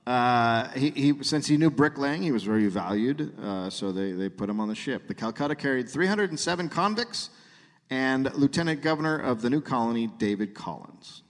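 Animated man telling stories in a reverberant hall. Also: mid-range voice, moderate pace. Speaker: podcast host and comedian. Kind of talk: live comedy podcast storytelling. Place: live show in a hall.

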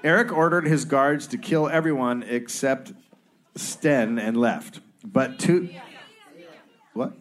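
A man's voice: mid-range voice, slow, some chatter behind. A man hosting a live comedy podcast, reading out a history story from his notes, stumbling over foreign names and joking along the way. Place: stage before a live audience.

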